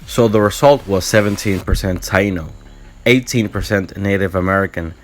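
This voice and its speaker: A man's voice: deep voice, normal speed. A man from Puerto Rico talking to camera in an earnest, explanatory way, reading prepared lines for his vlog. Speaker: vlogger. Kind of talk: scripted talk to camera.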